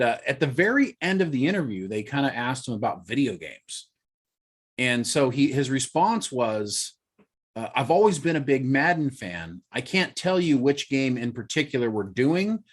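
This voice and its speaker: A man hosting a casual podcast, explaining things chattily and hedging with fillers. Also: medium pitch. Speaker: podcast host. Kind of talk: casual chat.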